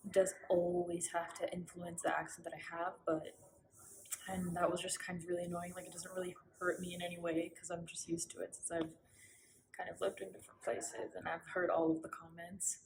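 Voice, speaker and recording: Gravelly woman with American accent. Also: on the low side, level tone, a little fast, a little mellow, a little hiss or hum, low-quality recording. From Spain. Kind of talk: personal account.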